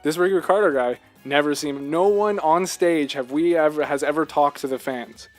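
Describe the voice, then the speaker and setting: A man co-hosting a casual video game discussion, talking in an animated, rambling way and repeating himself for emphasis. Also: fairly high pitch, brisk, over music. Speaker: podcast co-host.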